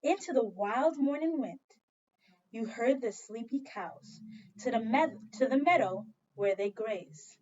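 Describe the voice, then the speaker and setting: A woman's voice: on the high side, expressive, measured pace, darkish tone, dull audio. A woman teaching second grade, reading a story aloud expressively and talking directly to young children with questions and repetition. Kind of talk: children's read-aloud lesson.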